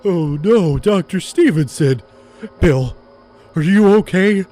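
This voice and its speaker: A man's voice: sits high, lively intonation, slow pace, dark tone, soft background music. A man playing an earnest, determined father in an audio drama, speaking with urgent emphasis. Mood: alarmed, concerned. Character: earnest, determined ordinary father of young hero.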